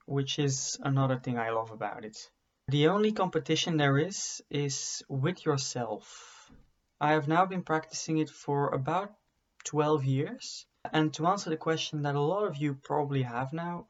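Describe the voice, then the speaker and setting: Young man, wry vlogger, slightly high voice, muffled webcam sound. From Belgium. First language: Dutch. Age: young adult. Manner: dry, self-deprecating humor. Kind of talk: casual self-introduction to camera. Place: bedroom study with bookshelves, indoors. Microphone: webcam at desk. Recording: dull lo-fi webcam audio.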